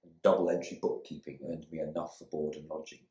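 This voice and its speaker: A man playing a bitter, stiff husband back from war in a dramatic monologue, with clipped, halting, disdainful phrasing. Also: rumbling low, level tone, mellow. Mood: wry, brooding. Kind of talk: dramatic monologue reading from a novel. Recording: roomy indoor echo.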